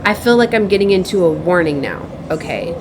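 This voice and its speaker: A woman with a fairly low voice delivers an off-the-cuff spiritual message. She circles back and repeats herself haltingly, with an earnest, cautioning manner. Clear ambient noise runs behind her voice. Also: big pitch swings. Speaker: online tarot reader. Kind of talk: improvised spiritual message to viewers.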